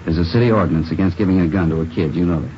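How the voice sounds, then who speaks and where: Actor as a low, indignant, world-weary police chief. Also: male voice, very fast, close to an everyday voice. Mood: bitter, indignant. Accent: American. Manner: forceful, bitter, world-weary. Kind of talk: 1950s radio police drama acting. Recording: dull noisy old radio recording.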